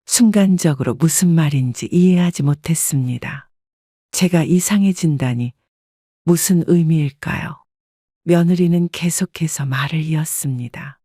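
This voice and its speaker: A woman narrating a first-person family story in a measured, subdued reading. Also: deep voice, mellow, no echo, clean studio sound.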